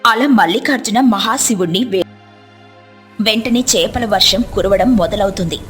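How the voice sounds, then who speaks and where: A woman's voice: medium pitch, normal speed, faint music behind. A woman narrating a scripted devotional moral story as a storyteller.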